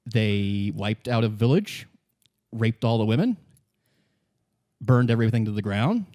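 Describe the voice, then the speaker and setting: A man's voice: on the low side, lively intonation, a little slow, dark tone, dry recording, studio quality. A man acting as game master, narrating a tabletop roleplaying game for his player.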